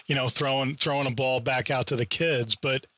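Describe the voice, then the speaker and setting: A man co-hosting a casual sports podcast, wry and animated, quick to laugh. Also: medium pitch, no room sound.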